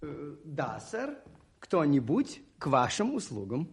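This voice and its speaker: Husky, mild little priest. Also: male voice, on the high side, wildly swooping pitch, exaggerated voice. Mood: polite, sly. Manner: polite, unhurried, quietly ironic. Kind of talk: 1980s Soviet radio play acting.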